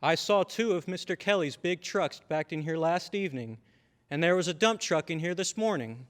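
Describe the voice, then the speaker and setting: A man's voice: fairly high pitch, lively intonation, normal speed, natural voice. Actor as paternal radio-drama father in reverberant hall. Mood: indulgent, skeptical. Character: stern but affectionate small-town father. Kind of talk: old-time radio drama acting.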